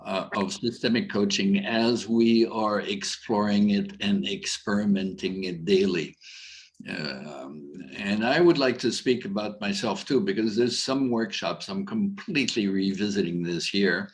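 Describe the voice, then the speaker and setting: A middle-aged man with a very low, deep voice talks loosely over a video call. He wanders through his points with plenty of fillers and false starts. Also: unhurried. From Algeria. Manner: rambling, many fillers and restarts. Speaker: coach and conference speaker. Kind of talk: casual conference talk.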